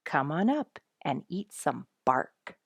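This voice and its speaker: A woman voicing a complacent, easygoing male porcupine in a storybook, with a drawn-out, gracious, self-satisfied delivery. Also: deep voice, very animated, gravelly, breathy edge, dry recording, studio quality, exaggerated voice. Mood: smug, relaxed.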